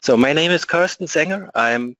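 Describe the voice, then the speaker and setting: A man's voice: fairly high pitch, expressive, normal speed, fairly bright tone, dull audio. A man with a German accent, a product support specialist presenting a webinar from an office, speaking hesitantly with many ums and uhs.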